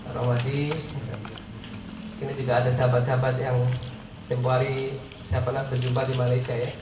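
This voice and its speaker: A man's voice: medium pitch, monotone, fairly quick. Nasal Indonesian man speaking in echoey room. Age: 40s. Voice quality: nasal, stuffy voice. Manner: jokey, self-deprecating asides, addresses listeners as friends. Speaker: guest motivational speaker. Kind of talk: informal public talk. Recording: echoey room, far mic, clear background noise.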